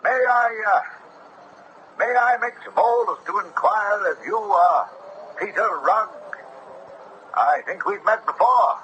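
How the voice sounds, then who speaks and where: An actor playing a courteous, earnest horseback traveler recounting a strange meeting, in a measured 1970s radio drama style. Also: male voice, high-pitched, bright, forceful tone, muffled recording, full character voice. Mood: polite concern. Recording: noisy vintage radio broadcast.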